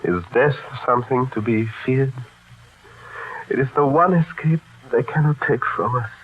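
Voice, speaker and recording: An actor playing a haunted, fearful refugee who broods haltingly in an old-time radio drama. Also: male voice, medium pitch, breathy edge, phone-quality sound, close to an everyday voice. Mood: brooding, haunted. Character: haunted, tormented refugee man who remembers past lives.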